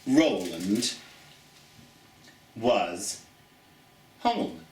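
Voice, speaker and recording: A man's voice: fairly low pitch, big pitch swings, extremely slow, darkish tone, a little hiss or hum. An American man hosting a children's storytime, reading and chatting to viewers in a theatrical manner, drawing out key words for emphasis. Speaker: storytime host. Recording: roomy, echoey, distant from the mic.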